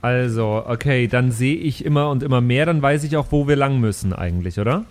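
A man's voice: mid-range voice, brisk, darkish tone. A man chatting casually as a player in an improvised tabletop role-playing game.

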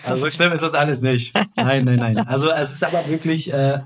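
A man's voice: mid-range voice, steady tone, brisk, slight background noise. A man in his thirties, not a native German speaker, chatting casually as a podcast guest and teasing with mock-dramatic exaggeration.

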